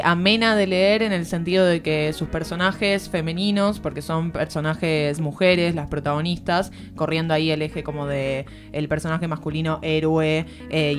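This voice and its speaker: A woman with a Buenos Aires area Argentine accent giving a conversational book commentary at a studio microphone, reflective and informal, searching for words as she explains. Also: sits low. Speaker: literary columnist on a radio show. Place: radio studio.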